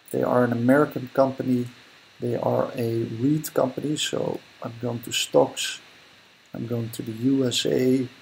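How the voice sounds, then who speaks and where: A man's voice: medium pitch, vocal fry, darkish tone, slightly rough, poor audio. A young Dutch man with a Dutch accent, thinking aloud and correcting himself as he talks through a screen recording. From Netherlands. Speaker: investing vlogger. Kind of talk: casual screen-recording commentary.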